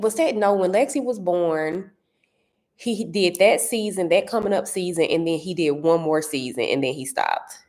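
Chatty woman with a St. Louis accent. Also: lowish voice. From Saint Louis area. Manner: chatty, searches for words, animated. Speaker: vlogger answering viewer comments. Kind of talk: casual chat. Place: home dining room.

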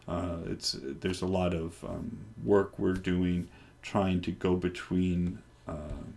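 Deep-voiced guy in his 40s on a headset, chatting loosely thru an install demo. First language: English. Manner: relaxed, rambling, many ums and restarts. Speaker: developer presenting hardware. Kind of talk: casual screen-recorded tech demo. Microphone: headset microphone.

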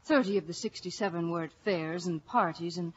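A woman's voice: lowish voice, fairly quick, slightly rough. An actress with an Irish accent playing a guileless, romantic young village woman, earnest and frank, in 1940s radio style. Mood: frank, coy. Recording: muffled old radio broadcast.

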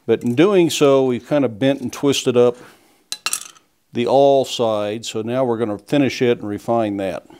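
A middle-aged blacksmith explaining step by step in a plain, unhurried way as he demonstrates forging in a small workshop. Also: medium pitch, background noise. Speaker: blacksmith instructor. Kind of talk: hands-on demonstration. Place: small workshop forge, indoors.

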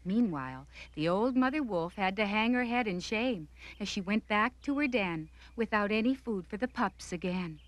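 A woman's voice: medium pitch, lively intonation, normal speed, hardly any pauses, no room sound. A woman narrating a scripted children's cartoon story.

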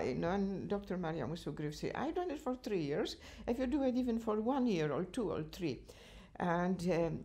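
An older woman from Poland with a Polish accent, chatting about her volunteer work in an interview, laughing often and speaking in halting, non-native sentences. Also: fairly low pitch, mellow. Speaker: interviewee, cultural association chair. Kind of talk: reminiscing interview answers. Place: living room at home, indoors.

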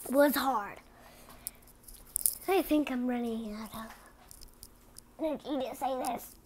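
High-pitched, slow storybook reader. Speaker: read-aloud presenter. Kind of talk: animated picture-book read-aloud with casual asides to viewers. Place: indoors at home, book on a cloth.

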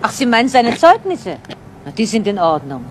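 An actress playing a brisk, self-assured woman at a garage business, speaking directly in a radio crime drama. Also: a little high, sing-song, slight background noise. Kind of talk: 1970s German radio crime drama acting.

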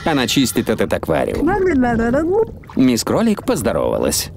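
A friendly male storyteller narrates a preschool cartoon. His intonation is highly animated and his sentences simple and explanatory, with music clearly behind him. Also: moderate pace, exaggerated voice.

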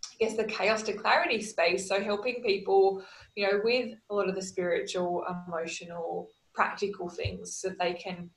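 Woman in her thirties, earnest and steady, video call from home, roomy echo. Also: mid-range voice. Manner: earnest, steady storytelling with lists. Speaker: guest, holistic wellness practitioner. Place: small room at home. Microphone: video call, laptop camera. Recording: echoey room on a video call.

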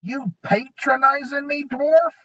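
A man reading an audiobook, voicing a sneering, bullying male goblin gang leader with high, snarling taunts. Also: slow pace, pushed and bright, full character voice. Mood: menacing. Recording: dull lo-fi recording.

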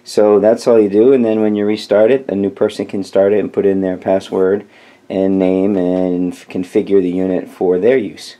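A man's voice: deep voice, little pitch movement, moderate pace, mellow. A man hosting a tutorial, talking through steps in a casual, informal how-to style. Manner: informal, step-by-step instruction. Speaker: tutorial host.

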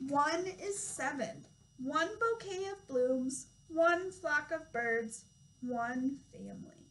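A woman's voice: high voice, takes it slowly, fairly bright tone, rough recording. A young woman, a toddler teacher, reading a picture book aloud with simple, instructive phrasing aimed at small children. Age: young adult. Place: small classroom, indoors.

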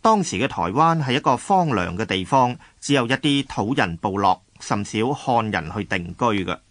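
A man's voice: lowish voice, expressive, moderate pace, a bit bright, no echo. A man with a Hong Kong Cantonese accent narrating a scripted history radio drama.

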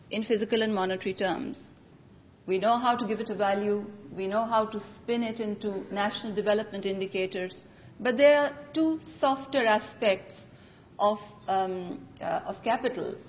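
A woman speaks at a podium, measured and articulate in a formal policy address. Her voice sits at a middling pitch. It was recorded from the audience in a large conference hall, so it sounds slightly dull with a faint low rumble behind it. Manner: measured, articulate, formal delivery with occasional restarts. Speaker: conference panelist. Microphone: podium microphone, recorded from the audience.

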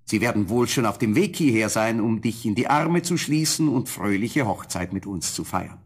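Husky, noble young prince. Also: male voice, fairly low pitch, normal speed. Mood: tender wooing. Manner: solemn, courtly, tender. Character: young, noble, tender prince freed from a spell. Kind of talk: 1970s German fairy-tale audio play acting.

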